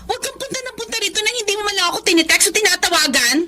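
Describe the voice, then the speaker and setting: A woman's voice: very high voice, animated, very fast. A woman playing a haughty, unwelcoming young city cousin in a Filipino radio drama, curt and condescending, with a Filipino accent. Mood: dismissive. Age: young adult.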